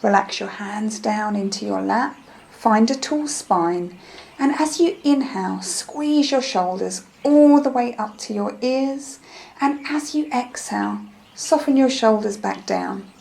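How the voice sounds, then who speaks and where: A woman leading a guided meditation in a gentle, soothing, unhurried manner, with phrases that trail off softly. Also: on the high side. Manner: soothing, gentle, unhurried instruction with soft breathy phrase endings.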